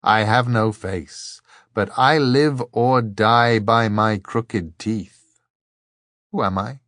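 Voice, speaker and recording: Man voicing a slow, formal young monk gatekeeper. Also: on the low side, a bit bright, dry recording, clean studio sound. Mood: cryptic. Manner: formal, incantatory recitation.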